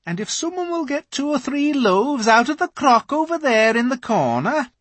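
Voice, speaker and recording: A reader voicing a brisk, motherly female beaver who stays practical and cool, in an audiobook. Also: high voice, sing-song, no room sound, voice pushed up. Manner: practical, unflappable. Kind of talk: audiobook character voice.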